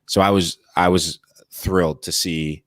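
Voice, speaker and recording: A man's voice: low voice, steady tone, a little slow, a bit bright, no room sound, studio quality. A man with a Midwestern American accent, a former player, talking soccer in a casual, enthusiastic and emphatic way. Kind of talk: casual sports talk.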